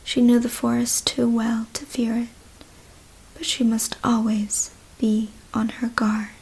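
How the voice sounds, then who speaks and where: Woman, whispered ASMR story reading, breathy and husky, even pitch, deliberate. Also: poor audio. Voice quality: soft breathy whisper with some creak. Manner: hushed, slow, deliberate storytelling. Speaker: ASMR reader.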